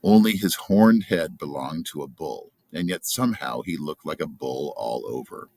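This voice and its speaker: A man narrates a storybook in an extremely deep voice with a creaky edge. He reads aloud, and the recording is dry and close. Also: animated, moderate pace, darkish tone, no echo. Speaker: storybook reader.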